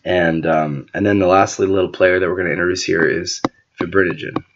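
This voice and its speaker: A man who is sick and losing his voice gives an informal whiteboard lecture, often clearing his throat and using filler words. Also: low voice, steady tone, fairly quick, highs cut off, background noise. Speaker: teacher explaining a medical topic.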